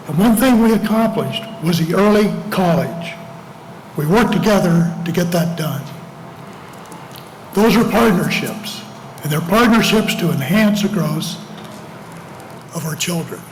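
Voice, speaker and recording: An older man with a raspy, slightly hoarse voice, giving a public address at a podium. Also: high voice. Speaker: community campaign speaker. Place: large public meeting room, at a podium. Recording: reverberant meeting room, clear background noise.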